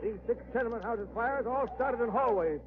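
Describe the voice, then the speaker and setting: Beleaguered male police commissioner, defensive, high voice, 1930s radio drama, thin band. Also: normal speed. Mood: grave, defensive. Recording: tinny, noisy old radio recording.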